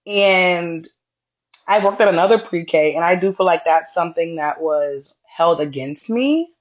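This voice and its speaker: A woman co-hosting a podcast in casual conversation, with a deeper voice and a reserved, straightforward way of talking. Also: measured pace, lo-fi sound. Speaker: podcast co-host.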